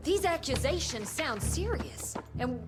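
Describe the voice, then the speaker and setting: A woman's voice: high-pitched, very animated, measured pace. A woman playing a timid, deferential government aide in a video game, polite and hesitant, with music behind. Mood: cautious. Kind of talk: video game cutscene acting.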